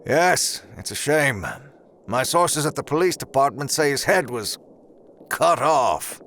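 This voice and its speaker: An actor playing a coolly calculating, sinister boss of a secret project in an audio drama, speaking in a measured, formal, ominous way. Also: male voice, medium pitch, animated, a bit bright, slight background noise.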